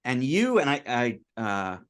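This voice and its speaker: Hesitant male podcast co-host, mid-pitched. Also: sing-song, measured pace, clear, resonant tone. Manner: hesitant, self-deprecating, many fillers. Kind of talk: casual chat. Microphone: video call.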